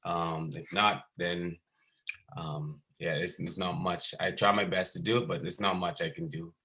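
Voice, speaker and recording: A man from the Bahamas in his thirties, a basketball skills coach, talking casually and haltingly over a video call with many ums and restarts. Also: deep voice, level tone, bright, forceful tone.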